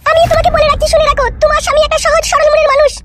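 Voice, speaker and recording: Indignant, squeaky cartoon young woman. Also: level tone, racing. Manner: scolding, emphatic. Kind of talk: comic cartoon dialogue.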